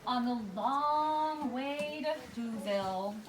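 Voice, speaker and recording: A woman's voice: sits high, slow pace, crowd noise. A woman narrating a comic rhyming story for a puppet show, in storybook style. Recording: echoey room, distant mic, background noise.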